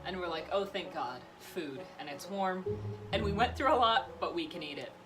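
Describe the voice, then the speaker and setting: A young woman vlogger casually telling stories in an animated way, acting out what people said. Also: fairly low pitch, moderate pace, low-quality recording. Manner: animated, acts out quotes. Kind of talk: casual storytelling. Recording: music behind the voice.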